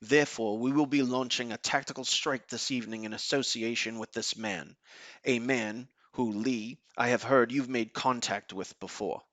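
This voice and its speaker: A man playing a commanding, fatherly leader of a superhuman team in an amateur audio drama, speaking in a measured, explanatory way. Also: medium pitch, steady tone, a bit bright, no room sound, lo-fi sound, close to an everyday voice. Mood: urgent, commanding.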